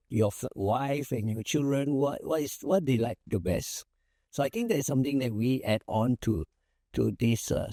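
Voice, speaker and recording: A man's voice: medium pitch, warm tone, dry recording, studio quality. A Malaysian man, a small firm's managing partner, answering interview questions in an easygoing, rambling way with many fillers and restarts.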